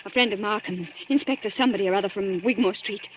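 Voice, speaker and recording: An actress playing an anxious, quick-thinking female accomplice in an old radio thriller, urgent, pleading and dramatic. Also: mid-range voice, lightly acted. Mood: urgent, scheming. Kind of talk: 1940s radio thriller acting. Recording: dull, noisy old radio recording.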